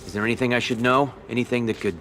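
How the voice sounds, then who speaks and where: An actor playing an ambitious, shrewd young mob underboss who talks in an even, controlled, businesslike way in a game cutscene. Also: male voice, medium pitch, rapid-fire, soft background music, close to an everyday voice.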